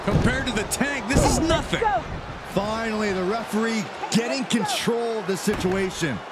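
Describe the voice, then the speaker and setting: A man playing an excited TV wrestling commentator, calling the action live in a video game. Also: high voice, normal speed, lightly acted. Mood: excited, urgent. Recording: loud music and arena thuds behind.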